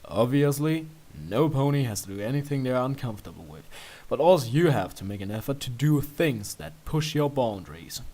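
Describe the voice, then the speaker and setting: A man voicing a bashful, playful pony protector in a story reading, earnest and gently bantering. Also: mid-range voice, expressive, normal speed, close to an everyday voice.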